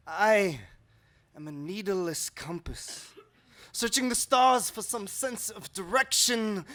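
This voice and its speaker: A man performing slam poetry as a dramatic recitation, earnest and emotionally intense, with deliberate phrasing. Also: very high voice, pushed and bright. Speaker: slam poet.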